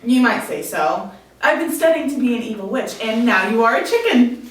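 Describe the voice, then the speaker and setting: A woman's voice: on the high side, animated, moderate pace, close to an everyday voice. A woman playing a vengeful young evil witch in a script table read, taunting and scolding. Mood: cool, accusing. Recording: echoey room, far mic, faint noise.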